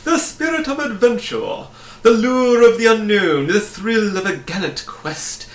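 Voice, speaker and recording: A man's voice: sits high, lively intonation, unhurried, roomy sound, voice pushed up. A man voicing a pompous, grandiose bug for a storybook, declaiming in florid, theatrical style. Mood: grandly enthusiastic. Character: pompous, grandiose, easily offended humbug bug.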